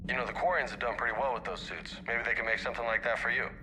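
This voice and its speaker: An actor playing a confident, brawny marine in a video game, casual and teasing. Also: male voice, medium pitch, fairly even intonation, rapid-fire, lightly acted. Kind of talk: scripted video game acting. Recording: clear background noise and ambience.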